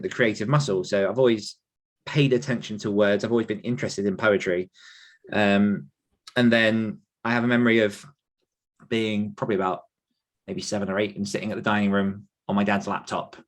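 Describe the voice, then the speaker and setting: A man's voice: fairly low pitch, fairly bright tone. A man in his thirties chatting casually and reflectively on a podcast, rambling and self-deprecating in manner. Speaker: podcast host and author telling his own story.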